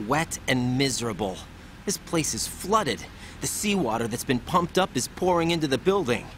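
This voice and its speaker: A man's voice: medium pitch, big pitch swings, moderate pace, a bit bright, slight background noise. An actor playing a young rookie agent, earnest and impatient, questioning his allies in a video game cutscene. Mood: concerned. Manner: questioning, emphatic.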